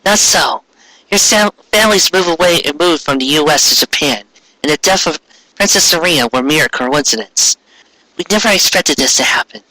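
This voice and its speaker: A man's voice: on the high side, lively intonation, moderate pace, sharp tone, no echo, rough recording. A man voicing the solemn, formal ghost of a moon queen in an anime fan fiction reading.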